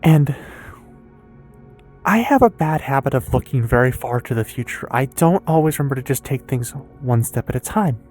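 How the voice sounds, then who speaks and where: A woman in her early twenties with an American accent talks candidly and casually in a confessional personal vlog, rambling haltingly with pauses and some swearing. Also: rumbling low, animated, a little mellow, soft background music. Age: early 20s. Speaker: vlogger and streamer.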